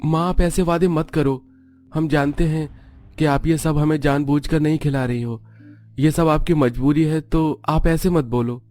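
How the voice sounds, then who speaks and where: Man voicing an earnest poor child, raised pitch. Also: steady tone, measured pace, warm tone, faint music behind, close to an everyday voice. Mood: consoling, pleading. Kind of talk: cartoon story voice acting.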